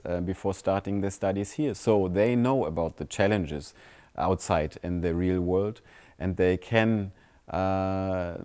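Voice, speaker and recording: Man, 40s, low warm voice, steady explainer in a lecture hall. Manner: measured, with filler pauses. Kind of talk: on-camera explanation.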